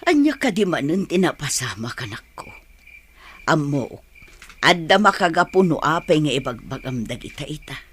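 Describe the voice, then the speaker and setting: A woman with a Filipino accent playing a caring, hardworking village mother in a radio drama, tender and expressive. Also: sits low, normal speed, a little hiss or hum. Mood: concerned.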